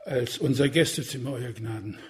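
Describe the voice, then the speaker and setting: An actor playing a deferential old house steward in a radio crime play, servile and plain-spoken, with a raspy voice. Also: male voice, medium pitch, moderate pace. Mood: humble, explanatory.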